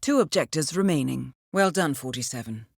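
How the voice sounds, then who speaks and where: Poised British female spy handler, lively intonation. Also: low-pitched, gravelly, husky, no echo, exaggerated voice. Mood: composed, businesslike. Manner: poised, measured, dry understatement. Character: composed female intelligence handler briefing an assassin, dryly wry. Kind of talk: scripted video game mission briefing.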